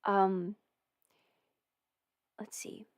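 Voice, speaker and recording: A woman's voice: medium pitch, level tone, drawn-out words, no echo, clean studio sound. A young woman in casual livestream chat with viewers, rambling, laughing often and swearing now and then. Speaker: audio performer answering viewer questions.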